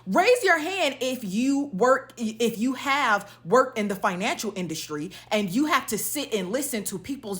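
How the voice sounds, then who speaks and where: A woman's voice: fairly high pitch, fairly bright tone. A woman giving an opinionated monologue to viewers, blunt and emphatic, posing pointed rhetorical questions as she goes. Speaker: social media commentator.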